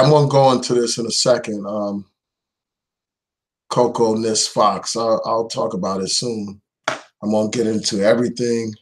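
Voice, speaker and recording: A man hosts his own live stream with a voice worn hoarse by a cold. He talks in earnest and leans hard on his points. His pitch sits in the middle range for a man. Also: a little hiss or hum. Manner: earnest, emphatic, speaks out without fear. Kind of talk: political commentary live stream.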